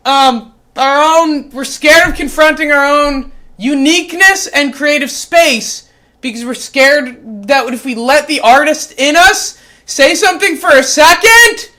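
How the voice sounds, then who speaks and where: A performer voicing a short-tempered, foul-mouthed cat who reads a spiritual book aloud, going from calm, measured reading to yelled, swearing rants. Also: high-pitched. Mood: ranting.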